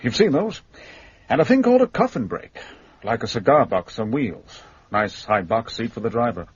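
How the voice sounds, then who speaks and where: A man's voice: medium pitch, big pitch swings, moderate pace, husky, no room sound. An actor playing a weary, exasperated British policeman with a clipped, dry manner in a radio drama. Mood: gloomy, sardonic. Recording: dull, lo-fi radio recording.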